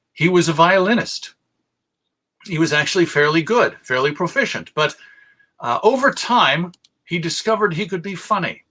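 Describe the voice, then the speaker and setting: A middle-aged American man with a fairly high, very bright and forward voice. He presents like a lecturer and storyteller, setting out his points in measured phrases with deliberate pauses. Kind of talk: public lecture on radio history.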